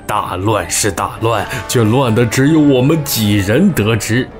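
An actor playing a shrewd, deferential royal advisor in a costume audio drama, speaking in a measured, deliberate, scheming way. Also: male voice, on the low side, faint music behind. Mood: sly, assured. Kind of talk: Chinese costume radio drama acting.

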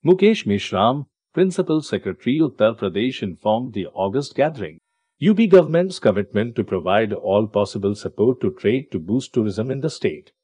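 Male news narrator, low and husky, lively intonation, dry booth sound. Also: normal speed, dry recording. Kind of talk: news voice-over.

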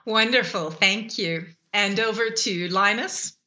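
A middle-aged woman from Canada moderating a panel, speaking in a clear, measured way over a video link with an earphone mic. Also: medium pitch, bright, forceful tone. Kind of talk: scripted panel introduction. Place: plain room, remote video link. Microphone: earphone headset mic.

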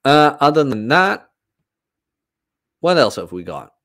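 A man's voice: a little high, big pitch swings, slow pace, a bit bright. A man in his thirties giving a casual, informal livestream monologue as a fitness coach, rambling and emphatic. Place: small room at home, indoors.

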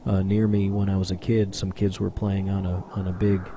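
Male vlogger, low mellow voice, rambling voice-over, faint hiss behind. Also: little pitch movement, moderate pace. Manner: rambling, conversational, with many fillers. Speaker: urban explorer vlogger. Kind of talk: casual voice-over narration.

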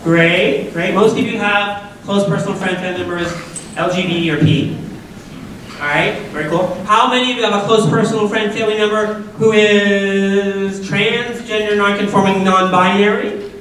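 A woman in her forties with a deep voice, an upbeat and chatty speaker who keeps asking the students questions. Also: fairly even intonation, normal speed, fairly bright tone, a little hiss or hum. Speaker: guest speaker at a school assembly. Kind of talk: lively talk to students. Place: large school auditorium. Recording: echoey auditorium from far off.

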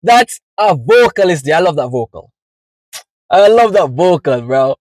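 Slow-talking, effusive Jamaican man. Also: on the high side, big pitch swings, darkish tone, no echo, poor audio. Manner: effusive, enthusiastic, slangy. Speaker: music reaction video host. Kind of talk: casual reaction commentary. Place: inside a parked car.

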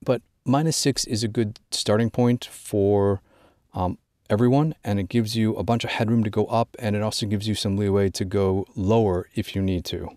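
A man presenting an informal instructional product walkthrough, drawing out key words in a measured way for emphasis. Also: on the low side, steady tone, a little mellow, no room sound, clean studio sound. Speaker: gear tutorial presenter.

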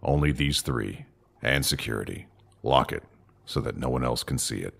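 Very deep, gravelly, commanding leader. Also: male voice, moderate pace, a bit bright, exaggerated voice. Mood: grave, firm. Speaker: voice actor. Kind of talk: sci-fi audio drama acting.

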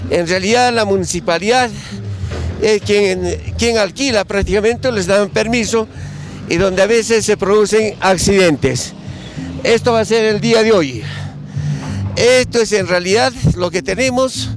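A man's voice: high voice, a little slow, soft background music. A man reporting unscripted from the street and questioning people as an interviewer. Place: busy city street, outdoors. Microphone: handheld microphone. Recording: outdoor street with traffic noise.

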